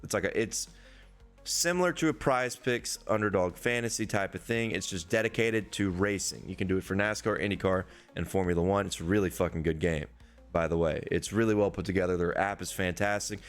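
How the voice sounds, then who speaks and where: A hoarse man, a young adult, hosting a casual sports-betting preview and swearing freely in a loose, rambling way. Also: low voice, brisk, faint music behind. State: hoarse, losing his voice. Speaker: sports betting podcast host.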